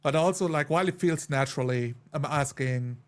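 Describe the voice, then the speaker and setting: A man in a casual discussion as a game player, talking haltingly as he thinks aloud. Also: a little high. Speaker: tabletop role-playing player.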